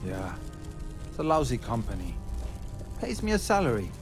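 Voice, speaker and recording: An actor playing a weary, evasive space miner in a video game, hesitant and pleading, with music behind him. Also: male voice, medium pitch, huge pitch swings, normal speed. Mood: defensive, downcast. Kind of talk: video game character dialogue.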